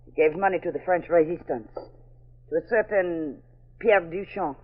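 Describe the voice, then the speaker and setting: An actress playing a treacherous, proud French murderess in a 1940s radio mystery, speaking in formal phrases. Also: female voice, on the low side, unhurried. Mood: bitter, confessing. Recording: dull, lo-fi old radio recording.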